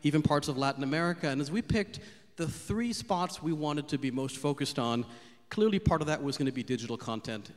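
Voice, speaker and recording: Upbeat man giving a keynote speech. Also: on the high side. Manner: fluent, upbeat and explanatory. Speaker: keynote speaker. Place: large conference hall on stage. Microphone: handheld microphone. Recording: long reverb of a big hall.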